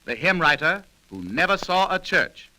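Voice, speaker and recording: Dramatic, high-voiced 1930s radio narrator, male. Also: sing-song, normal speed, poor audio. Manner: dramatic, formal storytelling. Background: music.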